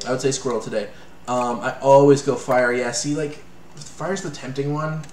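A man's voice: mid-range voice, steady tone, echoey room, over music, rough recording. Joking young Canadian man gaming live. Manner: rambling, joking, quick asides. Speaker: let's play co-host. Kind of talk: casual gameplay chat. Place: small room at home.

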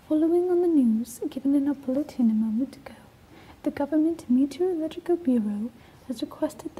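Woman as radio play newsreader, high voice, very soft dark tone, formal, serious. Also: natural voice, rough recording. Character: female radio announcer reading urgent news bulletins. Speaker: radio news announcer. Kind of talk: scripted radio play news bulletin.

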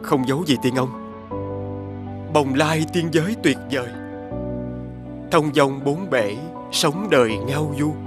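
A man voicing a homesick, courteous young scholar in an animated fairy tale, polite and earnest. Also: fairly high pitch, slow pace, pauses often, music in the background. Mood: wistful.

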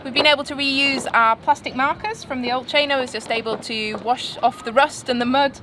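A woman's voice: high voice, steady tone, a little fast. A woman in her thirties, a sailing vlogger, explaining in a matter-of-fact way on a marina dock. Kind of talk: casual explaining to camera. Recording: outdoor noise behind.

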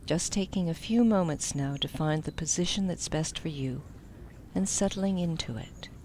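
Woman, meditation instructor, slightly low voice, gentle guided narration, soft phrase endings, faint background noise. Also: moderate pace.

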